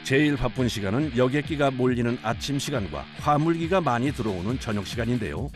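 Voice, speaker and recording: A man's voice: mid-range voice, expressive, fairly quick. Male documentary narrator over music. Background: background music.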